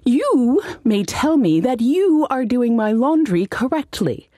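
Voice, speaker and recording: A woman's voice: fairly high pitch, very animated, takes it slowly, warm tone, no echo, clean studio sound, voice pushed up, full character voice. A woman voicing an irascible, pompous old male halfling wizard in a comic fantasy audiobook, indignant and bossy. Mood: imperious.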